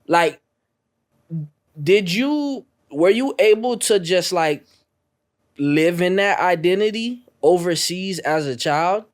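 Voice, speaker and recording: A young man from North Miami co-hosting a podcast, asking questions in a joking, animated way. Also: sits high, slow. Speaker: podcast co-host. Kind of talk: casual podcast conversation.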